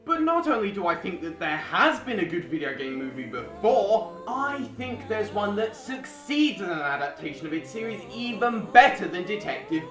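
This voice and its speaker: A young adult reading a scripted video essay as a film reviewer, with music behind. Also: medium pitch. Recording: roomy voice-over with music behind.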